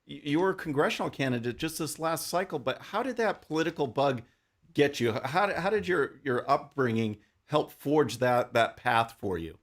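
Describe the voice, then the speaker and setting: A man's voice: on the high side, expressive, normal speed. Conversational male talk show host. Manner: conversational, restarting phrases. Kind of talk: interview questions.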